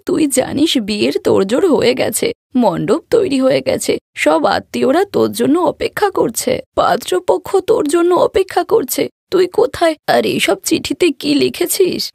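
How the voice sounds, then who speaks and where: A woman voicing a worried, complaining middle-aged mother in an animated moral story. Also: sits high, moderate pace, gravelly, no room sound, studio quality, voice pushed up, exaggerated voice. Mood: distraught, pleading. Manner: fretful, complaining.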